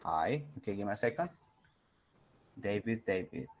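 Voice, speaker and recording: Relaxed male English teacher, muffled. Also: fairly low pitch, normal speed. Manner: relaxed, encouraging, switches into Spanish. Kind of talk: online class roll call.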